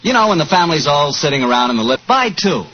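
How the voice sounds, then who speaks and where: Polished, upbeat 1940s radio announcer, man; bright voice, muffled old broadcast sound. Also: a little high, sing-song, quick pace, slight background noise.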